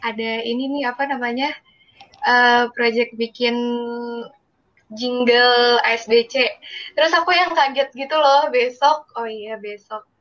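A teenage Indonesian girl shares stories casually as a student being interviewed. Her voice sits fairly high, and the sound is somewhat dull and lo-fi. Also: steady tone, a little slow, fairly bright tone. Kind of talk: casual anecdotal chat. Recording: muffled lo-fi audio.

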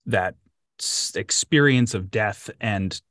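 A man's voice: on the low side, slow, a bit bright, no echo. A man hosting an interview, asking long, thoughtful questions that ramble a little. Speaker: interview host. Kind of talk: casual interview talk. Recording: dry close sound.

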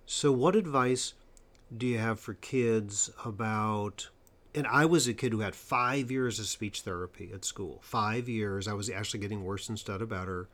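A man with a lowish voice interviews a guest. He talks emphatically and leans on key points by slowing down. His tone is conversational. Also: a bit bright. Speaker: interviewer and stuttering coach.

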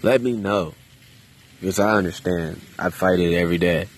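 A man talking to himself in a park in a quiet, subdued, hesitant way, with many restarts and casual swearing. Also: deep voice, fairly even intonation, moderate pace. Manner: loose and conversational with casual swearing. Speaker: vlogger. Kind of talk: casual solo monologue. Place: outdoors in a park.

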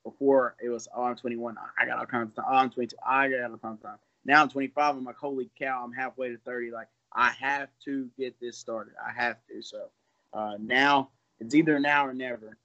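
A man's voice: mid-range voice, steady tone, a little fast, bright, forceful tone, highs cut off. A man in his twenties, a fighter, talking casually in an interview in a loose, rambling way with some laughs. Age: 20s.

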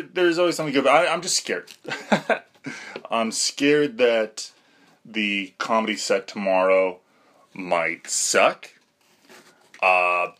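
A man's voice: mid-range voice, sharp tone. A young man talking through a rambling, self-deprecating podcast monologue, full of fillers and restarts. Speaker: podcast host.

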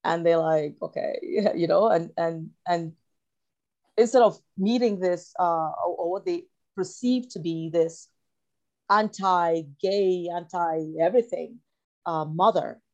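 A woman from Cameroon with a fairly low voice speaks as a guest in an interview. She is reflective and searching, often circling back over a phrase before she completes the thought. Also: a little mellow, lo-fi sound. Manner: halting, searching, repeats phrases as she thinks. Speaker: interview guest, writer and actor.

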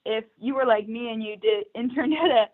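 A woman's voice: fairly high pitch, fairly quick. Young woman recruiter, upbeat and chatty, laughs easily, thin phone-quality audio. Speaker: recruiter, podcast guest. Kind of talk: casual interview chat. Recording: tinny phone-like line.

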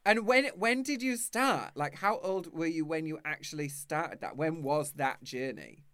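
Camp male host, 30s, lively pitch, bright tone, video-call chat. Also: smooth, no room sound. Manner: camp, chatty, quick to laugh.

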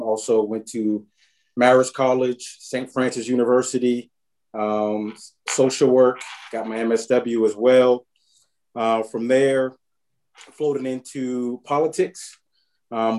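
A man briskly listing his background with fillers on a video call panel. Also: mid-range voice, warm tone, poor audio.

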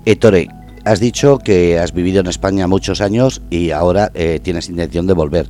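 A male radio host with a very deep, mellow voice asks his guest questions. Soft music plays faintly behind him. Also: moderate pace. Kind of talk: radio interview.

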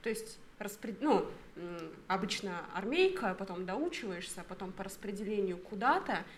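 A woman's voice: medium pitch, lively intonation, normal speed, slight background noise. A woman of thirty co-hosting a podcast, explaining her thoughts at length in casual chat. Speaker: podcast co-host.

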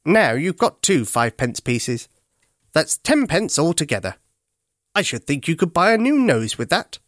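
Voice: mid-range voice, very animated, moderate pace, dry recording, studio quality. A storyteller voicing a pleased, generous male toy duck with an approving manner in a children's story.

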